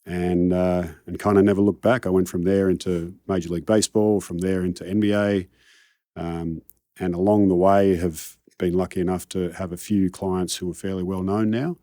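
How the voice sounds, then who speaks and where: A man's voice: low-pitched, flat delivery, moderate pace, warm tone, no room sound, clean studio sound. A middle-aged Australian man, a coach telling his career story in a casual interview, rambling and self-deprecating. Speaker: high-performance coach, guest.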